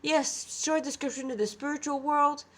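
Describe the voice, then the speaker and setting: Slightly high-voiced woman reading her story. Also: steady tone, moderate pace, a bit bright. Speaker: author reading own story. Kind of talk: story narration with casual asides.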